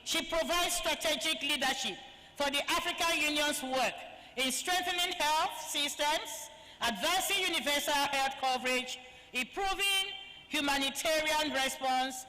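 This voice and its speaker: A woman with a high, bright voice hosts a formal conference as master of ceremonies. She reads distinguished guests' credentials in a ceremonious tone. Her handheld mic carries into a large, echoing conference hall. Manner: ceremonious, reads guest bios aloud with honorifics. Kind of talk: formal event introductions.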